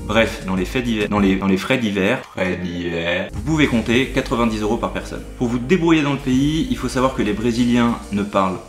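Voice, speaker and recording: A man's voice: lowish voice, a little fast, hardly any pauses. A man giving upbeat, chatty travel advice in a vlog voice-over. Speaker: travel vlogger. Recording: roomy sound over background music.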